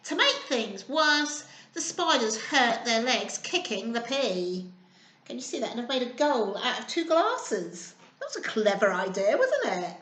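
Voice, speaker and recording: A woman's voice: on the high side, very animated, unhurried, a bit bright. A woman reading a picture book expressively to young children, prompting them with simple questions. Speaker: children's storyteller. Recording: roomy, echoing indoor sound.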